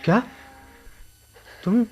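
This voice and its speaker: A man's voice: very slow, dull audio. An actor playing an earnest, questioning man courted by a ghost in a radio drama, with a theatrical delivery. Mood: incredulous. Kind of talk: Hindi radio play acting.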